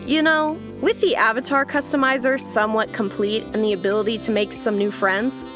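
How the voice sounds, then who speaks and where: A woman's voice: fairly high pitch, steady tone, fairly quick, music in the background, close to an everyday voice. A woman playing a jaded, bossy resident of a digital afterlife in an audio comedy, dry, blunt and sarcastic. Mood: dryly hopeful. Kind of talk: scripted audio comedy drama.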